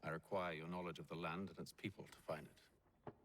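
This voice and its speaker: A man playing a courteous, self-assured gentleman leader with formal speech in a video game. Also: low-pitched, fairly even intonation, fairly quick, a little hiss or hum. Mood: purposeful. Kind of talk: video game character acting.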